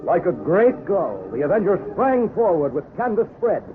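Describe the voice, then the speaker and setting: A man's voice: high-pitched, sing-song, normal speed, over music. A man narrating a seafaring adventure in florid, dramatic old-time radio style. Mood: dramatic, suspenseful. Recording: tinny, noisy vintage radio broadcast.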